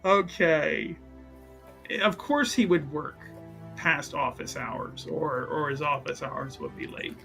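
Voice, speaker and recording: A man with a bright, slightly high voice narrates his part in a tabletop roleplaying game. He talks casually and makes it up as he goes, halting and repeating words in bursts. There is music under his voice. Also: gravelly.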